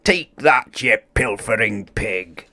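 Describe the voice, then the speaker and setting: A man's voice: fairly low pitch, lively intonation, slow, bright, forceful tone, heavily acted. A man voicing a plucky, blustery pirate captain rallying his crew in a children's storybook. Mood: triumphant. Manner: blustery pirate talk with arrs and mateys.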